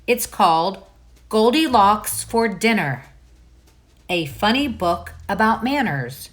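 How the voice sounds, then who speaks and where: A woman's voice: medium pitch, lively intonation, takes it slowly, a bit bright, soft background music. A woman reading a children's storybook aloud expressively, with dramatic delivery and musing asides to the listeners. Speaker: children's book read-aloud narrator.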